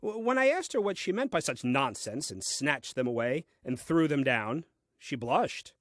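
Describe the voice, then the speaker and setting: Man acting as first man on earth, self-important, grumbling, dry humor, lively intonation, close dry recording, comic audio play. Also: medium pitch, normal speed, no echo, lightly acted. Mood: dryly pompous. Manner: dry, fussy, pompous deadpan delivery.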